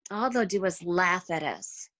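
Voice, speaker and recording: A woman's voice: fairly low pitch, expressive, measured pace, a bit bright. A woman acting as an anxious, doubtful disciple in a biblical church drama, speaking hesitantly and earnestly.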